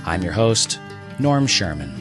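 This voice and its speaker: Low-pitched wry podcast host, male. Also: big pitch swings, unhurried, over music. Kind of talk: scripted comic podcast hosting.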